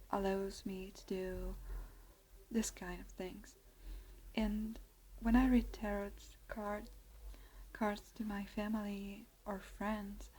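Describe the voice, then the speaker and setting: Young woman, Italian accent, soft hesitant ASMR whisper, unhurried delivery. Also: mid-range voice, a little hiss or hum, poor audio. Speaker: ASMR creator.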